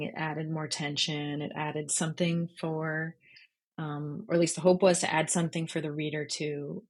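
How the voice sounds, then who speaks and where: A woman with a slightly husky voice, speaking thoughtfully and informally as an interview guest. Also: low-pitched. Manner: thoughtful, informal, explains step by step. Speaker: podcast guest, freelance journalist. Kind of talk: reflective interview conversation.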